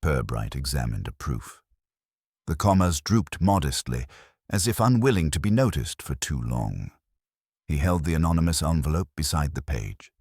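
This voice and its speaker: Male solo narrator for detective drama: bass-deep, husky, dryly witty and formal, expressive intonation. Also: dry recording. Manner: measured, dry, wry, formal delivery. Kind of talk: scripted literary narration of a mystery drama.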